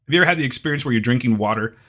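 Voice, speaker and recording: A man co-hosts a podcast in a mid-range voice that sounds a bit scratchy and hoarse. He teases his co-host and explains things with mock authority in casual chat. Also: medium pitch, racing, sharp tone.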